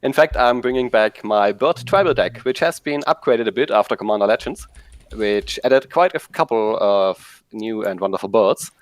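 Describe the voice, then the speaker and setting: A German-accented man chats casually as a guest player, friendly and a little self-deprecating. His pitch moves lively and the sound is dry and close. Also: moderate pace, no room sound. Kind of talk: casual chat.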